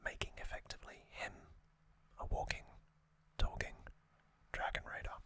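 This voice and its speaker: A man hosting ASMR, recapping a story in a breathy, unvoiced whisper with a gentle, halting delivery. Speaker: ASMR host.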